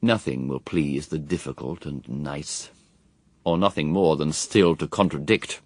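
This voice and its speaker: Slow, deep-voiced proud devil tempter. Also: male voice, no room sound. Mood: proud, imperious. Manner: grand, measured, rhetorical. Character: Satan the tempter, proud, cunning, persuasive fallen angel. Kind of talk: dramatic verse radio drama.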